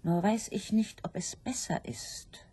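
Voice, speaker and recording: An anxious, slow-speaking sow. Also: female voice, medium pitch, warm tone, slightly rough. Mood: anxious, doubting. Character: anxious, doubting sow pondering her fate. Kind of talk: literary radio drama acting.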